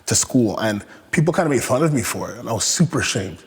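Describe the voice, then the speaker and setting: A man's voice: mid-range voice, expressive, quick pace, breathy edge. A young man from Canada talking as an interview guest, reflective and hesitant, with many fillers and restarts. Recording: echoey room with long reverb.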